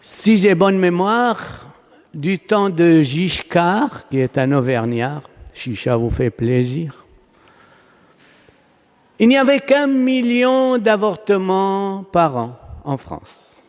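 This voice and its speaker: Slow Palestinian priest preaching in reverberant church. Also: male voice, sits high, big pitch swings. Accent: Palestinian Arabic. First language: Arabic. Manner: oratorical, emphatic, with rhetorical questions and pauses. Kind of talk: sermon. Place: large church, preaching to a congregation. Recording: long reverberant church hall echo.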